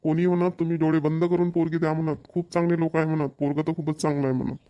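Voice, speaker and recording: A man's voice: a little high, little pitch movement, fast talker, mellow, highs cut off, lightly acted. A man voicing an earnest village father in a homemade cartoon, speaking colloquial, emphatic, repetitive Marathi with a Vidarbha accent. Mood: earnest, reassuring. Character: earnest village father arranging his daughter's marriage.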